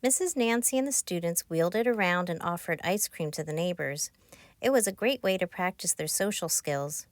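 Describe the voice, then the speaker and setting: Woman reading a children's storybook, lowish voice. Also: animated, normal speed, no room sound. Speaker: author narrating her own children's book. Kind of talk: scripted children's storybook narration.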